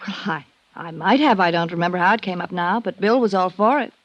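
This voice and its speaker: A woman's voice: lowish voice, brisk, no echo, muffled recording, natural voice. A woman playing a guarded, defensive wife under questioning, in a proper vintage radio drama style. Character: guarded, indignant married woman, possible widow under questioning.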